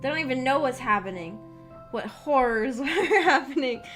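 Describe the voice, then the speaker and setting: A woman's voice: high voice, moderate pace. A young adult woman chatting casually as a livestream host, telling rambling anecdotes in a giggly, filler-heavy way. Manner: giggly, animated storytelling with frequent "like". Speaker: streamer hosting a visual novel book club. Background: background music.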